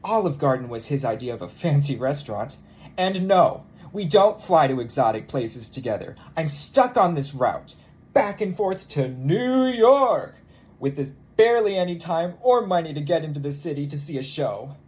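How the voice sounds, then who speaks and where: A man's voice: fairly high pitch, lively intonation, normal speed, darkish tone, close to an everyday voice. Bitter, sarcastic jilted flight attendant, acted by a man. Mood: aggrieved. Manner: sarcastic, wounded, emphatic. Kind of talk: theatrical solo monologue as cabin announcement.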